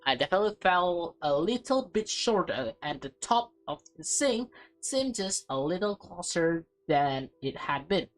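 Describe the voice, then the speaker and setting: A woman with a fairly low voice reads a visual novel aloud over prominent music. Her delivery is expressive, and she slips between narrating and offhand casual comments as host of the playthrough. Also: a little slow.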